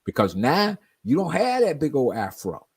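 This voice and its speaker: Man in his forties, husky voice, swinging up and down in pitch, easy storytelling talk. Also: huge pitch swings, normal speed, a little mellow. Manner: storytelling, often asks "you know what I mean?". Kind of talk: casual chat on a live stream.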